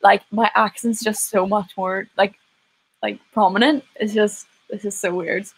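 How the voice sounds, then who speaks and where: A woman's voice: medium pitch, steady tone, measured pace, husky, low-quality recording. A young woman, chatting casually and rambling with lots of likes. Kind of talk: casual chat on a live stream.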